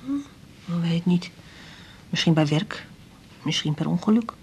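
An actress playing a timid, evasive Turkish immigrant wife in a radio drama, with a Turkish accent and broken, halting sentences. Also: female voice, fairly low pitch, darkish tone, breathy edge, heavily acted. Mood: evasive, subdued.

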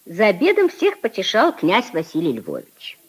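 A woman narrating a literary radio play in a 1950s style, with a husky voice. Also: mid-range voice, huge pitch swings, normal speed.

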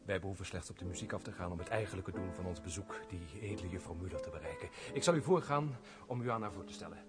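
An actor playing a curt, formal and impatient gentleman major in a radio play, with a husky voice. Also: deep voice, quick pace, loud music behind the voice, close to an everyday voice. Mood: courtly.

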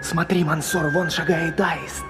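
A man playing an excited, curious caliph in a children's fairy-tale audio play, eager and theatrical. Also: on the high side, measured pace, natural voice. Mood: eager, secretive. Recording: loud music behind the voice.

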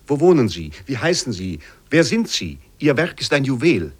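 A man's voice: mid-range voice, very animated, normal speed, husky. A man playing eminent 18th-century men in a radio feature, reading their words in a formal, emphatic, declamatory way. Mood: exalted enthusiasm. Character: quoted 18th-century men of letters and a king, earnest, exalted. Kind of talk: dramatic reading of historical quotations in a radio feature.

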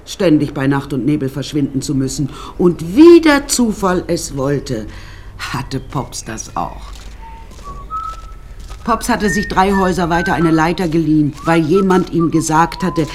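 A woman's voice: deep voice, a little hiss or hum. An actress playing a wry woman who recounts her death-strewn childhood in a radio play, dry and confiding. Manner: dry, darkly comic, confiding.

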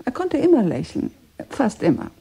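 A widowed mother speaks in a very soft-edged, dark voice with a husky grain. She is composed and gracious, choosing her words with polite hesitation in a radio drama. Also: on the high side, wildly swooping pitch, moderate pace, full character voice. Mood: reflective, insistent. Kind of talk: German radio drama acting.

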